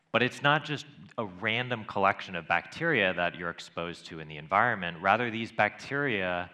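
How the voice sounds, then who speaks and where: A man's voice: sits low, pushed and bright. A man, a microbiologist on a panel, explaining his research with many ums.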